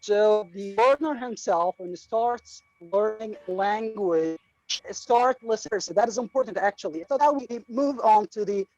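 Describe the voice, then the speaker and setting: Iraqi man, participant in an online class, halting and full of restarts, dry dull video call sound. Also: high-pitched, darkish tone, no echo. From Iraq. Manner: halting, many fillers and restarts. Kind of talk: casual speech to a class.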